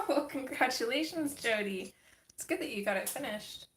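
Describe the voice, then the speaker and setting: A woman hosting a casual livestream, chatting with viewers as she colors, her voice a little gravelly from just waking up. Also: sits high, animated, a little slow, a bit bright, roomy sound. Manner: chatty, reads and answers chat comments. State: slightly gravelly morning voice. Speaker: livestream host.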